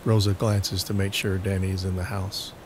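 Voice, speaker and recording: A man's voice: deep voice, little pitch movement, normal speed, background noise. A man narrating a scripted audio drama, reading scene descriptions as the narrator.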